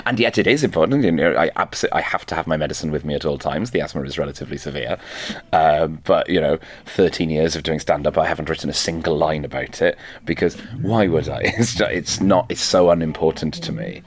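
A man's voice: very deep voice, brisk. A Welsh man, a stand-up comedian and native Welsh speaker, chatting backstage and laughing often as he questions himself. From Wales. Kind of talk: casual backstage chat. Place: backstage at a venue.

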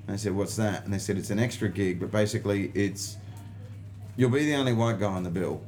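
A man's voice: on the low side, quick pace, a little mellow, soft background music. An Australian man, a stand-up comedian being interviewed, telling anecdotes casually in an emphatic, sweary way and acting out the dialogue. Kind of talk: animated anecdote in casual conversation.

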